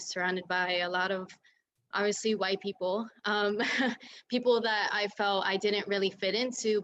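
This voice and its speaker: A woman's voice: mid-range voice, a bit bright. A young American woman on an artists' panel, speaking earnestly about her background. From United States. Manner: earnest, laughs lightly between thoughts. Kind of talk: casual panel talk. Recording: dull lo-fi audio with faint noise.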